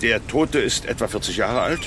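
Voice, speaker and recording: An actor playing a pompous, self-assured police detective sergeant in a radio play, curt and officious. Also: male voice, medium pitch, lively intonation, moderate pace, natural voice. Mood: smug, officious. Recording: noisy waterside sound effects behind.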